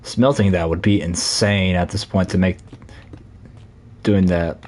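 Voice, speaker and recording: A man live-streaming a Minecraft session, chatting casually in a scratchy, slightly hoarse voice while he plays. He rambles, corrects himself and leans on fillers. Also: deep voice, fairly even intonation, unhurried, a little mellow. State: hoarse from talking a lot.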